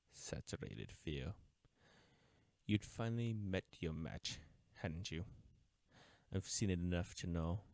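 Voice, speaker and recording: An actor playing an uptight government agent in an audio roleplay, speaking formally and deliberately, smug yet mourning a lost friend. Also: male voice, deep voice, vocal fry, darkish tone, no room sound. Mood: cold, gloating. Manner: formal, deliberate, taunting with wistful undertones. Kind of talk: scripted audio roleplay drama, addressing the listener.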